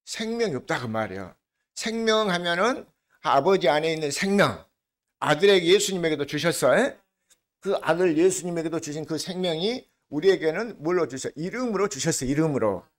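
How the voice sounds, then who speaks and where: Older male pastor, regional dialect, raised pitch, chatty sermon full of questions to the pews. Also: lively intonation. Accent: regional Korean dialect. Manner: conversational preaching, asks the congregation questions; slows down to lead scripture reading together.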